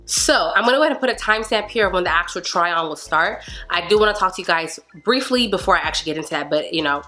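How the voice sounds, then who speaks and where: Young woman, slightly nasal from a cold, bright forceful tone, lowish pitch, chatty vlog from her couch, faint music behind. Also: quick pace. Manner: animated, talks with her hands. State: stuffy nose from a cold. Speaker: beauty and fitness vlogger. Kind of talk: casual chatty haul review. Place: living room, seated on a leather couch indoors.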